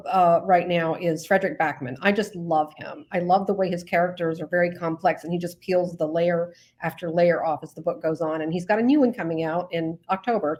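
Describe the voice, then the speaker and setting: A woman novelist chatting casually as an interview guest, informal and self-effacing, feeling her way through her sentences. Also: lowish voice.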